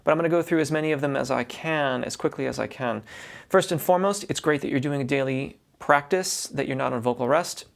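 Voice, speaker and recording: A man's voice: mid-range voice, fairly quick, vocal fry. A man who teaches voice, reading a viewer's question aloud and then giving practical advice, often restarting his sentences. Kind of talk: instructional talk to camera.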